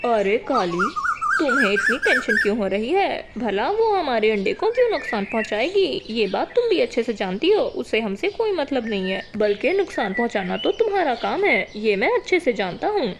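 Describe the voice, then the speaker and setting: A woman voicing a mild, henpecked male crow husband who reasons and remonstrates in an animated children's story. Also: a little high, moderate pace, background noise. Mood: reproachful.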